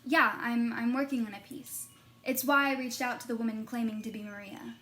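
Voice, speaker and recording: High-voiced earnest woman reporter. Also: fairly even intonation, brisk, bright, forceful tone. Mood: flustered, helpful. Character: earnest, determined female investigative reporter, easily flustered. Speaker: actor and narrator in an audio drama. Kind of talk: podcast-style narration and naturalistic drama dialogue.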